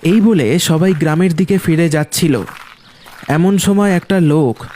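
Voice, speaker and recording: A man's voice: a little high, unhurried, a little mellow, slight background noise. A man narrating a scripted ghost story in a dramatic storytelling style.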